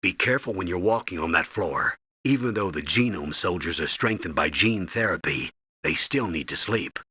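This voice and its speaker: A stern American colonel briefing an operative in a video game. His low voice is husky and forceful, with clipped, instructional authority. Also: animated, normal speed, no room sound, clean studio sound, heavily acted. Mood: instructive. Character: stern older military colonel giving mission orders by radio. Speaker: mission commander and briefer.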